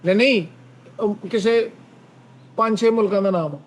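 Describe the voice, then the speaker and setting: A man's voice: high-pitched, moderate pace, a little hiss or hum. A man speaking casually as part of a group on a talk show panel.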